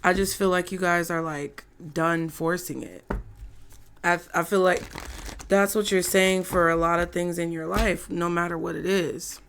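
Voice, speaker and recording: A hoarse woman giving a casual, chatty tarot reading to her viewers. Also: on the low side, fairly even intonation, a bit bright, slight background noise. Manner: slow, chatty, laughs often. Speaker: tarot reader.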